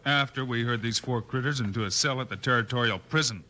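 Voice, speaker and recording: An actor playing a calm, commanding Old West lawman with an American accent, clipped and no-nonsense, in a 1950s radio western. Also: mid-range voice, fast, lightly acted. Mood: steady. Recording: vintage 1950s radio recording.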